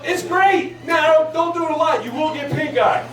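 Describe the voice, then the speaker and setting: A man in his forties doing a crude stand-up set, profane and brash, shouting at the crowd. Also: extremely high pitch, moderate pace. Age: 40s. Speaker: stand-up comedian. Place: large hall at a live show. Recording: echoey hall recorded from a distance with crowd noise. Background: laughing crowd.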